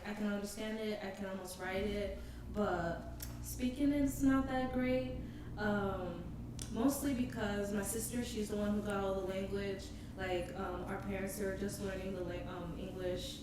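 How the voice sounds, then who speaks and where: A woman from Boston chatting casually as a panel guest, unhurried and full of fillers. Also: medium pitch, fairly even intonation. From Boston, United States. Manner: easygoing, unhurried, with many fillers. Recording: very echoey room, distant mic, crowd noise.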